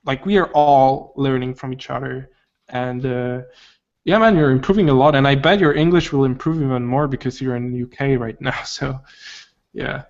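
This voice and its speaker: A man's voice: medium pitch, steady tone, normal speed, lo-fi sound. A man co-hosting a casual chat, laid-back and encouraging.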